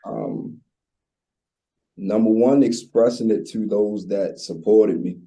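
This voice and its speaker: Dark, husky young man with Baltimore accent. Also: on the low side, takes it slowly. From Baltimore, USA. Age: 20s. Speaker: interview guest, recording artist. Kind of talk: casual chat.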